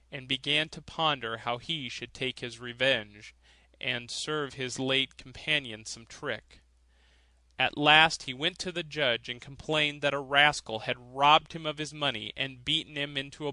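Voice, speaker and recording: Bright, forceful male storybook narrator. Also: mid-range voice, no room sound. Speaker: audiobook narrator. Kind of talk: storybook narration of a fairy tale.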